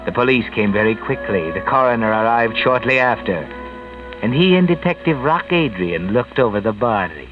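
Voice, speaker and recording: A man's voice: medium pitch, animated, moderate pace, natural voice. A man playing a sinister, all-knowing mystery narrator in 1940s radio style, ominous, theatrical and mocking. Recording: dull vintage radio recording with music.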